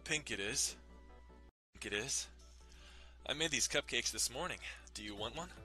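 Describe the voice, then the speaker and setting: A man's voice: medium pitch, expressive, normal speed, pushed and bright, faint music behind. A man playing an amused, dutiful young pastry shop worker in an understated, easygoing way, in a visual novel reading.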